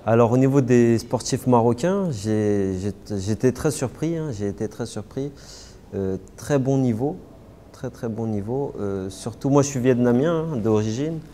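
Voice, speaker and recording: A young man, answering interview questions casually, halting often with fillers and restarts. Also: medium pitch, lively intonation, mellow, slight background noise. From Paris suburbs, France. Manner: hesitant, many fillers and restarts. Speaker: interviewee, martial artist.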